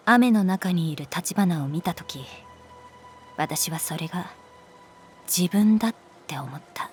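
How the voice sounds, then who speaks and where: A calm adult art teacher in an intimate audio drama. Her voice is on the low side and very unhurried, soft and wistful, with a resigned, protective tenderness. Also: slight background noise. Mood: wistful, confessional. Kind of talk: Japanese audio drama acting, intimate dialogue.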